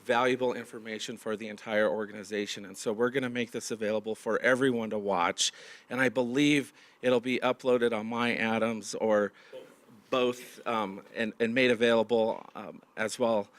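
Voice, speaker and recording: Man losing his voice, halting speech. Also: on the low side, steady tone. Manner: halting, many fillers and restarts. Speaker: county manager hosting a staff meeting. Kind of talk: opening remarks to a large audience. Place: large meeting room with audience.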